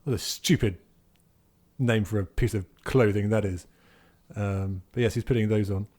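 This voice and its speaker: Low-voiced man improvising game narration. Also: fairly even intonation, moderate pace, darkish tone. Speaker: roleplaying podcast player.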